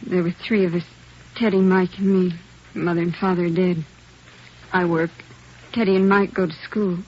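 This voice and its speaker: A woman playing a bereaved working-class sister of thirty-one in a 1950s radio drama, speaking in short, halting, plain phrases. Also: fairly low pitch, level tone, warm tone, lightly acted. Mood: subdued. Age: 30s. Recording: dull, fuzzy vintage radio recording.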